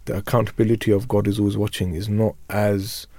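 A man's voice: lowish voice, little pitch movement, fairly quick, mellow. A man in his thirties, co-hosting a radio discussion, whose first language is Urdu, telling candid, reflective stories from his childhood. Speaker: radio show co-host. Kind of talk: casual panel discussion.

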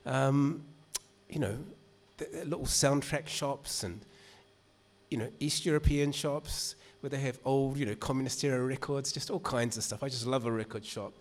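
A man's voice: medium pitch, moderate pace. A man with a New Zealand accent, an author telling a live audience in casual, rambling talk full of restarts. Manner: chatty and rambling, many restarts and "you know". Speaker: author reading at a literary event.

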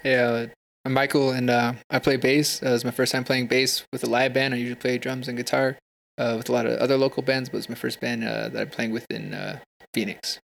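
A young man who plays bass answering interview questions in an easygoing way, with many uhs. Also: level tone, fairly quick, vocal fry, fairly bright tone. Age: young adult. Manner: easygoing, filler-heavy. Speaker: bass player. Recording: faint ambient noise.

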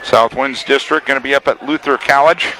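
A man giving live radio play-by-play commentary from a school gymnasium, with crisp factual updates on the clock and score. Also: medium pitch, steady tone, brisk. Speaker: play-by-play sports announcer. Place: high school gymnasium during a live meet. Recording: very noisy live gymnasium crowd recording. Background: loud crowd and gym noise.

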